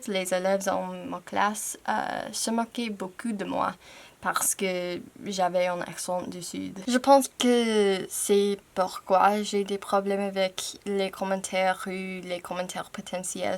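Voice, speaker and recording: A young American woman whose first language is English speaks French in a slow, deliberate way. She takes her time and breaks off now and then to fix a word. It is a relaxed, candid home video recorded on a camera mic. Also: medium pitch. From United States. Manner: slow, careful, hesitant with self-corrections. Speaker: language-learning YouTuber. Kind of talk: candid personal vlog in learner French.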